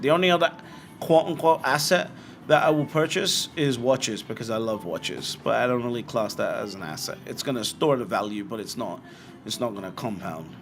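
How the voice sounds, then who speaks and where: A British man hosting a live show and answering viewer comments in a frank, blunt way, firing off quick rhetorical questions. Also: medium pitch. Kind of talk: casual livestream commentary.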